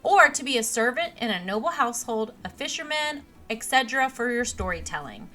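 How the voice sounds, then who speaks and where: Bright-voiced monotone woman gamer. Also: fairly high pitch, fairly quick. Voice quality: naturally monotone. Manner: rambling, with many ums and restarts. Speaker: let's play host. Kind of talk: casual gameplay narration. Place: home computer setup. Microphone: desk mic replacing a broken headset.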